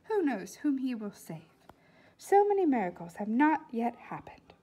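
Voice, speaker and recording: A woman voicing an elderly foreign woman doctor in a storybook, warm and philosophical, with emphatic, oddly formal phrasing. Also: high voice, big pitch swings, unhurried, breathy edge, voice pushed up, exaggerated voice. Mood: hopeful. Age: older.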